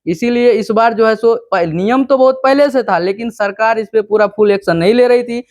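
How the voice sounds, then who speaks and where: A man's voice: high voice, normal speed, no echo, studio quality. A man with a Bihari accent presenting an informal explainer, teaching step by step with repetition and questions he answers himself. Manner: didactic, repetitive, asks and answers own questions. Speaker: video presenter explaining land law.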